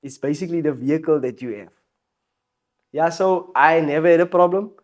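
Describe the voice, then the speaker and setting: Animated South African man. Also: a little high, brisk. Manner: animated, emphatic, repeats phrases, laughs often. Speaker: guest musician. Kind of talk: casual podcast conversation.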